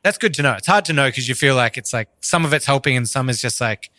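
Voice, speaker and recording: Australian male co-host, mid-pitched with a bright edge, blunt joking banter. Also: animated, fast, dry recording, studio quality. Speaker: podcast co-host. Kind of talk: casual, joking podcast chat.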